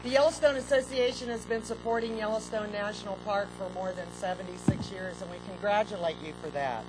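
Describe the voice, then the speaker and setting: Woman host at an outdoor podium, formal and gracious, mid pitch, noisy open-air PA sound with hum. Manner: measured, gracious, with occasional fillers. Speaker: park superintendent giving ceremony welcome. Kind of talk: formal outdoor ceremony speech. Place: outdoors at a public ceremony. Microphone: podium microphone with PA.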